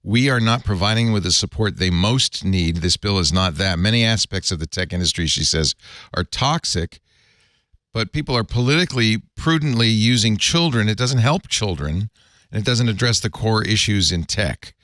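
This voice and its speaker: A man hosting a casual tech talk show, animated and opinionated, discussing his own company's forums. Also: lowish voice, moderate pace, no echo, studio quality. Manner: lively, animated retelling with quoted voices. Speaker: podcast host.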